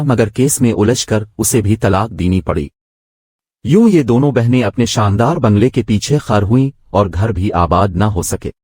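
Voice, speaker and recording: A man's voice: fairly low pitch, darkish tone, breathy edge, dry recording, studio quality. A man reading a scripted moral story as its narrator.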